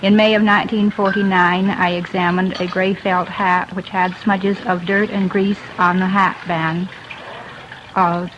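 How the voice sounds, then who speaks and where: American woman technologist, dull old recording. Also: medium pitch. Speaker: laboratory medical technologist. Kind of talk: formal prepared statement. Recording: dull, faintly noisy old radio recording.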